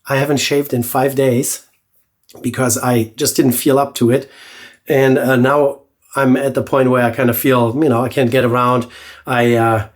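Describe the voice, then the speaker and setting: A man's voice: mid-range voice, moderate pace. A man with a blocked-up nose talks in a nasal, congested voice as he reviews shaving gear. He speaks casually and easily, with lots of fillers and restarts. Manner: relaxed, chatty, with many fillers and restarts. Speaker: shaving channel host. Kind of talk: casual product review vlog.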